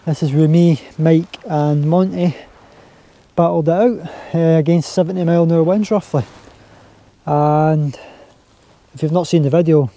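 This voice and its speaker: Mellow-voiced Scottish man outdoors. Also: fairly high pitch, unhurried. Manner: relaxed, chatty, easygoing. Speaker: outdoor vlogger. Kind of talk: casual talk to camera. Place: outdoors on a windy hilltop campsite. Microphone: handheld camera or mini tripod.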